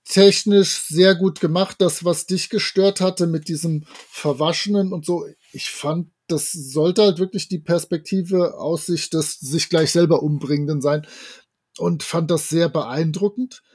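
Husky, higher-voiced man chatting. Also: fairly even intonation, a little slow, a little mellow. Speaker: podcast co-host. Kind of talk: casual review chat.

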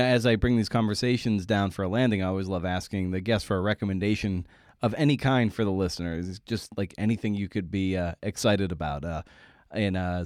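A man's voice: low voice, darkish tone, dry recording, studio quality. A man hosting a podcast in a casual, conversational style, with an informal, wry and self-deprecating manner. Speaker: podcast host and interviewer.

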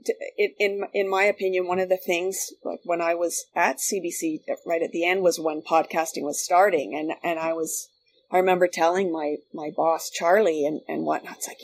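A middle-aged woman with a fairly low voice. She talks informally as a guest, rambling a little and circling back to repeat phrases. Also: a little hiss or hum. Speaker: podcast guest, former radio worker. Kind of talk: casual chat.

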